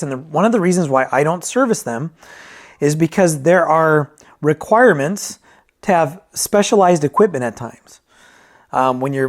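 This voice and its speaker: A man giving a casual, unscripted product review as a vintage audio reviewer, candid and self-deprecating, thinking aloud in colloquial phrasing. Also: on the high side.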